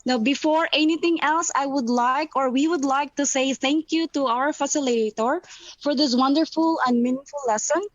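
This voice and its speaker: A woman's voice: high voice, normal speed, no echo. A woman with a Filipino accent, a teacher giving prepared feedback in an online seminar over a call. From Philippines. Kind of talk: prepared spoken testimonial. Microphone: online video call, camera off. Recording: muffled lo-fi online call audio.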